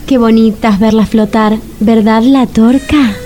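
An actress as a high-voiced, coaxing romantic woman. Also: fairly even intonation, a little slow, mellow, slight background noise, close to an everyday voice. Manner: coaxing, affectionate, flowery. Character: soothing, romantic, eager woman on a date. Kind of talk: comic radio drama acting. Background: music behind the voice.

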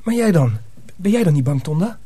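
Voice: mid-range voice, sing-song, a little fast, mellow, husky. An actor playing a naive, curious teenage apprentice boy in a radio drama, anxious and questioning. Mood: curious, worried.

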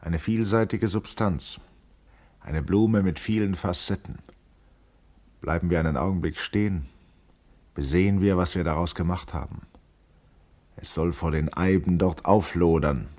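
An actor playing a grave, contemplative man in a literary radio play, speaking in a solemn, deliberate, poetic way. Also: low-pitched, a little mellow. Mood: reflective. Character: grave, contemplative man musing on life and cosmos.

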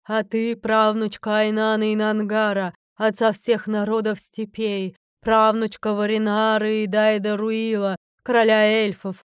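A woman's voice: a little high, smooth, no room sound, clean studio sound, lightly acted. A woman voicing an imperious, easily irritated mother goddess in a fantasy audiobook, speaking in a grand, stern, declamatory way. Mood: stern, menacing. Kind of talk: fantasy audiobook character acting.

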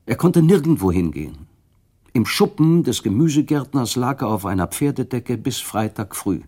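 A man narrating a literary radio play, measured and expressive, rising with the drama. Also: medium pitch.

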